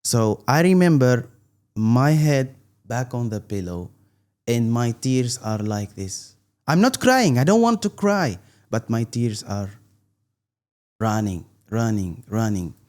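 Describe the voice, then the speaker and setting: A man's voice: mid-range voice, very animated, slow, a little mellow. A middle-aged man from Tunisia, a guest in an interview, talking earnestly with many restarts and an emphatic "believe me". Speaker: guest, content creator.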